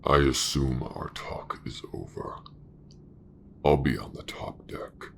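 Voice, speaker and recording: A man playing a cold, haughty, greedy smuggler captain in a sci-fi audio roleplay, measured and condescending. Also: rumbling low, gravelly, whispered, full character voice. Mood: cold, imperious.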